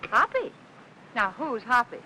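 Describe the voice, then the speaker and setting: An actress playing a gentle, placating housewife under strain in an old film noir. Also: female voice, a little high, very animated, a little slow, full character voice. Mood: indulgent, playful. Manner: polite, placating, soft endearments. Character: gentle, strained housewife caring for a sick husband. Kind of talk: 1950s film noir acting. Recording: noisy old film soundtrack.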